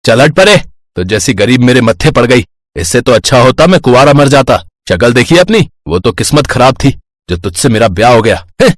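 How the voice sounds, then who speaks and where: Abusive drunk husband for a moral story, played by a male actor, crude, drawling, mid-pitched, dry recording. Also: sing-song, a little fast, dry recording. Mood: drunken anger.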